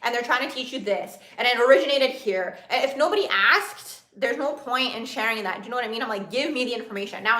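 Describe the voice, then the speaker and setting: Fast, bubbly young woman in an echoey room. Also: a little high, pushed and bright. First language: another language first, grew up speaking English from age four. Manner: fast-talking, bubbly, says "like" a lot. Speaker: astrology channel host. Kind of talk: casual livestream chat reading comments.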